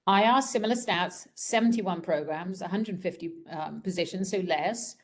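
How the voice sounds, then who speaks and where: A woman presents an informal webinar for students in a slightly low voice. She sounds croaky, as if getting over a cold, and talks in a conversational, frank way over a video call. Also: moderate pace. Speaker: program director presenting a webinar.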